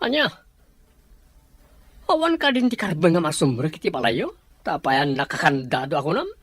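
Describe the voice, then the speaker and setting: Man acting aggrieved male boss, firm, husky, dry studio sound, audio drama. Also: a little high, big pitch swings, normal speed, fairly bright tone, no echo. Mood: agitated, curt.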